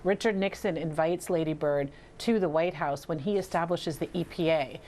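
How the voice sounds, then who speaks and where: A woman's voice: low-pitched, moderate pace, darkish tone, a little hiss or hum. A woman filmmaker giving explanatory answers as a guest in an interview.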